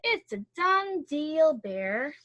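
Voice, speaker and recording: A woman's voice: high-pitched, very animated, very slow, fairly bright tone, pitched above the performer's own voice, exaggerated voice. A woman voicing a clever, eager male hare in a storybook, with a persuasive salesman manner. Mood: pleased.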